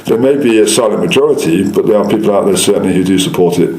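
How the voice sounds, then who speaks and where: Lowish male voice, English accent, rapid delivery, persuasive, repeats points, interview setting. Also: warm tone, a little hiss or hum. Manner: insistent, explanatory, repeats points. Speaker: local councillor. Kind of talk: interview answers, political persuasion. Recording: echoey room.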